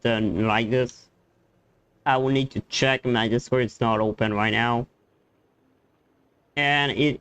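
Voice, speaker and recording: A man's voice: mid-range voice, fairly bright tone, smooth. A man chatting casually as a game streamer, hesitant and rambling, often repeating words. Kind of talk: casual live-stream commentary and chat.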